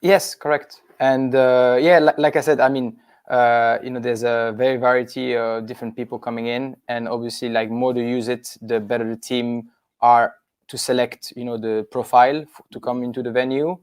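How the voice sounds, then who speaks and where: A man's voice: medium pitch, flat delivery, normal speed, clear, resonant tone, no room sound, clean studio sound. A man from Switzerland, a business co-founder, sharing rambling anecdotes in a webinar discussion.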